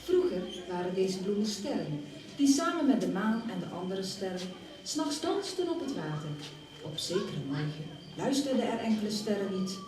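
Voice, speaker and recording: A woman playing a gentle fairytale storyteller, reading a scripted tale. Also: mid-range voice, big pitch swings, normal speed, close to an everyday voice. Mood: storytelling. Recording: very echoey, distant, noisy attraction audio. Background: clear background noise.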